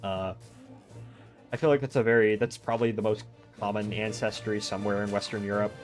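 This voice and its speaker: Low-voiced American man musing aloud. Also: steady tone, a little fast, soft background music. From United States. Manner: musing, thinks aloud in long digressions. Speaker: streamer. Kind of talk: casual chat while gaming.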